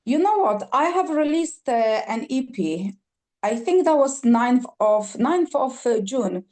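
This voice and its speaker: A woman's voice: high-pitched, lively intonation, darkish tone. A woman from Poland, a singer-songwriter guest on a podcast, talking enthusiastically with frequent fillers and restarts. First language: Polish. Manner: enthusiastic, halting with many fillers and repeats. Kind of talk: podcast interview.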